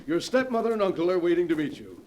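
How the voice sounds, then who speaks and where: Cordial higher-voiced middle-aged father. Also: sing-song, fast, background noise. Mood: welcoming. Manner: cordial, genteel. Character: warm, courteous middle-aged father welcoming his daughter. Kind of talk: 1940s radio acting. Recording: old 1940s radio drama recording.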